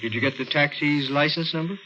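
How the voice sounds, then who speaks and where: An actor playing a patient, skeptical police detective in a 1950s radio mystery, soothing and a little hesitant. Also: male voice, fairly high pitch, brisk, sharp tone. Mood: reasoning. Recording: muffled old radio recording, faint noise.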